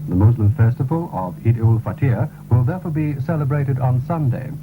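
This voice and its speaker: A man reading a formal, scripted radio news bulletin in a measured way, on a 1970s broadcast. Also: medium pitch, over music. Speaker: radio newsreader. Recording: tinny, narrow-band 1970s radio broadcast recording.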